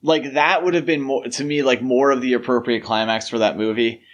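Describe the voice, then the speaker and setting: A man's voice: mid-range voice, fairly even intonation, a little fast, lo-fi sound. Man co-hosting a casual film podcast. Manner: conversational, explanatory, uses "like" and "you know" often. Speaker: podcast co-host.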